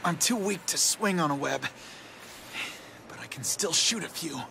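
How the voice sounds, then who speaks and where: Wry, high-pitched young American superhero. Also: male voice, expressive, unhurried, creaky, noisy background. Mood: weary, resolute. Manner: wisecracking, muttering to himself. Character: wry young male superhero, battered but quippy. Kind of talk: video game cutscene acting. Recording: music behind the voice.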